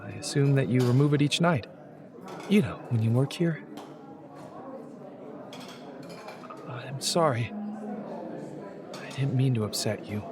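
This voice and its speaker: A man acting a quiet, perceptive homeless stranger in a radio drama, speaking in a gentle, measured, probing way. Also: mid-range voice, expressive, crowd noise, natural voice. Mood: calm, observant. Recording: music under the dialogue.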